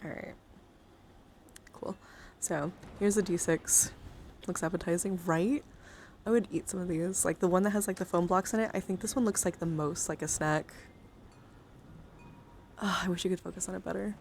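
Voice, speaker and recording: A woman livestreamer chatting casually in an ASMR style, halting and rambling as she thinks aloud. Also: on the low side, steady tone, creaky, darkish tone, slight background noise. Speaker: livestreamer showing a dice collection. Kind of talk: casual ASMR chat to viewers.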